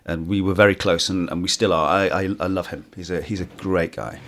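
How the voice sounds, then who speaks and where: Low-voiced guy chatting, kinda husky, keeps restarting sentences. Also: fairly even intonation, brisk, a bit bright. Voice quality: hoarse, husky when tired. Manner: rambling, restarts often, self-deprecating. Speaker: musical theatre actor, interview guest. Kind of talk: casual interview chat.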